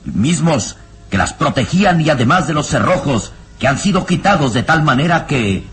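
A man narrating a radio melodrama in suspenseful, measured phrases with dramatic emphasis. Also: on the high side, animated, fairly bright tone. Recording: radio drama with music underneath.

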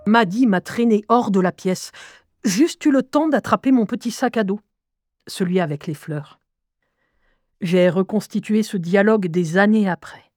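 A woman narrates in the first person, intimate and scripted. Her voice is medium-pitched and dark, mellow in tone, recorded very dry with no room around it. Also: normal speed, dry recording.

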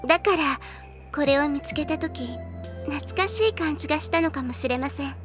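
A woman playing a gentle, caring older-sister girl in an anime audio drama, speaking softly and politely. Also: sits high, moderate pace, lightly acted. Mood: gentle, reflective. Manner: soft, polite, formal speech. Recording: music bed under the voice. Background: music.

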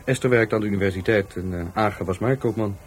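An actor with a Netherlands Dutch accent playing a touchy, defiant law-school dropout in a radio play, by turns hesitant and scornful. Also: male voice, fairly low pitch, quick pace, slightly rough. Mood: hesitant, explaining. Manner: hesitant, then scornful and argumentative. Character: defiant, touchy young male law-school dropout, murder victim's helper.

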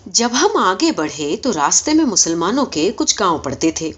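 A woman reading a story aloud as expressive scripted narration, in the manner of an audiobook reader. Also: fairly low pitch, slight background noise. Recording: dull, lo-fi recording.

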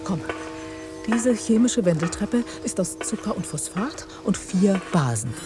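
A woman's voice: mid-range voice, very animated, a little slow, music in the background. An actress playing an intense, patronising woman in a German radio drama, explaining science in a coaxing, didactic way. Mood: coaxing, secretive.

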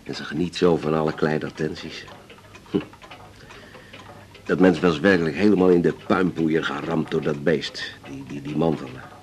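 An actor playing a troubled middle-aged father in a radio drama, confiding haltingly and repeating his words. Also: a bass voice, steady tone. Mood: compassionate. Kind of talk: naturalistic 1980s radio drama acting.

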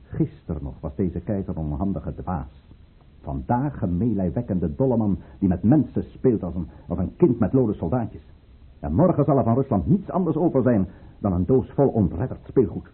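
Fervent conspirator count, very husky, widely varied intonation, commanding, rhetorical, radio play, thin muffled old recording. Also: male voice, sits low, lively intonation, moderate pace, heavily acted. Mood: grave, contemptuous. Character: ruthless, fervent count leading a conspiracy against the tsar. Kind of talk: Dutch radio play acting.

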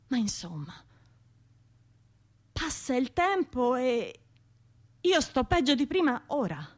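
Troubled woman survivor in a radio play, halting and unsure, keeps questioning herself, talks like real conversation. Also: fairly high pitch. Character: troubled, hesitant woman bombing survivor rehearsing a speech. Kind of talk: radio drama monologue.

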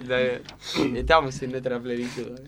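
A man's voice: mid-range voice, level tone, normal speed. An Argentine man chatting casually between takes at a comedy radio recording session. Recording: clearly noisy recording with clicks.

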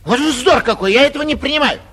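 A man playing a vain, petulant, cowardly young gentleman in a 1940s theatrical radio play, whining and indignant in a husky voice, with long reverb on the old recording. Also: very high voice, quick pace. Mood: haughty, indignant. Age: young adult.

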